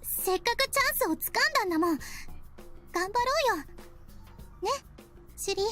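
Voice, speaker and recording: Young idol girl, very fast, perky, scolding, emphatic, visual novel style, clear background music. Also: very high voice, expressive, bright, forceful tone, exaggerated voice. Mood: encouraging. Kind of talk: Japanese visual novel voice acting. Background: game music.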